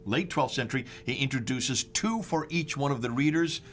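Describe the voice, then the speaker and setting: A man's voice: medium pitch, moderate pace, fairly bright tone, slight background noise. A man with an American accent hosting a discussion, walking through slides with emphatic rhetorical questions and stressed dates. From United States. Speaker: host.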